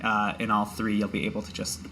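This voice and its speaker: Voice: medium pitch, completely flat delivery, a little fast, background noise. A game master narrating a tabletop game off the cuff in a casual, explanatory way.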